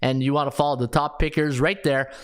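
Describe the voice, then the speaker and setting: A man's voice: mid-range voice, fairly even intonation, fast, clean tone. A man in his fifties hosting a sports betting podcast, breezy and jokey as he rattles off stats with plenty of ums and uhs. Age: 50s. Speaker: podcast host and sports handicapper.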